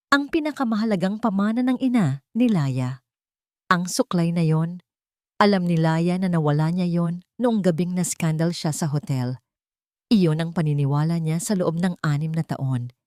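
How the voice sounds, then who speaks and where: A Filipino woman narrates a scripted love story with measured, dramatic delivery. Her voice sits somewhat low, and the recording is very dry and close, with hardly any room sound. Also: a little mellow, no echo.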